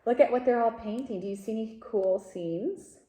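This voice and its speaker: A woman's voice: fairly high pitch, normal speed, dark tone, a little hiss or hum, low-quality recording. A woman reading a story aloud to young children, expressively and with asides and questions to the listeners.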